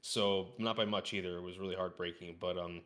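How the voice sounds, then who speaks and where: A man's voice: deep voice, steady tone, fairly quick, a bit bright. A man talking casually as a guest on a gaming podcast, using informal gamer slang.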